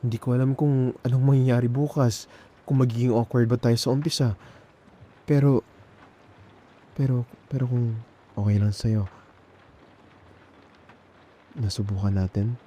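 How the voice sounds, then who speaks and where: A man with a Filipino accent playing a nervous, tender young man in an intimate ASMR boyfriend roleplay, speaking haltingly and repeating words. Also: medium pitch, fairly even intonation, mellow, slight background noise, close to an everyday voice. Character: nervous, tender young man confessing love to male best friend. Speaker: ASMR audio roleplay performer.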